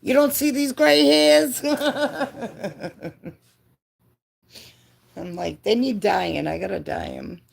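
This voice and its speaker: A woman's voice: fairly high pitch, fairly even intonation, slow, gravelly, long pauses. A woman in her fifties chatting casually with live viewers, laughing often and answering comments. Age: 50s. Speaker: livestream host.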